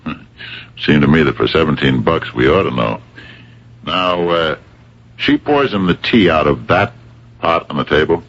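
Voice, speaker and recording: An actor playing a gruff, skeptical homicide captain in a radio drama, with a gravelly voice and a dry, halting, probing manner. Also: male voice, extremely low pitch, lively intonation, fairly bright tone, exaggerated voice. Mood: wry suspicion. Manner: halting, wry interrogator. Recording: dull old radio broadcast.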